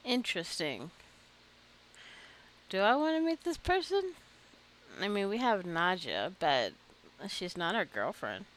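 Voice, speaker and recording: A woman giving relaxed, chatty commentary while playing a video game, talking to the game characters and laughing often. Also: medium pitch, slow, long pauses. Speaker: gaming video creator. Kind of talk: casual gameplay commentary.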